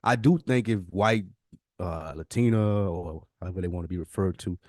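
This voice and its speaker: Low-voiced laid-back man co-hosting a podcast. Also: moderate pace, darkish tone, no echo, studio quality. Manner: laid-back slangy talk. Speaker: podcast co-host. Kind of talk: casual podcast conversation.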